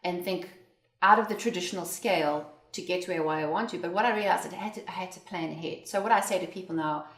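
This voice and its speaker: A South African woman, an entrepreneur and interview guest, telling her story in an expressive conversational way and laughing often. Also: lowish voice, quick pace, reverberant. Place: indoors at a home.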